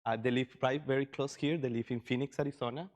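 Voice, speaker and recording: A man from Costa Rica presents to a group in an informal style. His voice sits at an average pitch, and his phrasing is loose and a little hesitant as he explains things. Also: fairly even intonation, fast talker, a little mellow. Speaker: session presenter, migration researcher.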